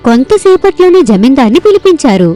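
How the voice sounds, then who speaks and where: A woman's voice: high-pitched, big pitch swings, fairly quick, warm tone, soft background music. A woman reading scripted story narration as a storyteller.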